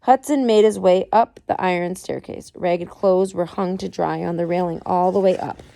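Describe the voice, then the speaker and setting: A woman's voice: fairly low pitch, fairly even intonation, normal speed, creaky, warm tone, poor audio. A woman narrating a story aloud from a book, reading it expressively. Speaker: amateur audiobook reader.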